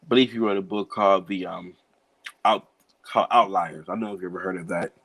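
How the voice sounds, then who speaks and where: A man in his thirties from Cincinnati, a children's book author answering interview questions in a relaxed way, laughing easily and filling pauses with "um" and "you know". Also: deep voice. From Cincinnati, USA.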